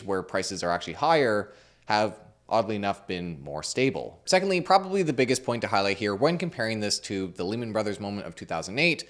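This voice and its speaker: A man hosting a finance channel, explaining the market in a measured, neutral way while hoarse and losing his voice. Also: lowish voice. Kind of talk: explainer commentary.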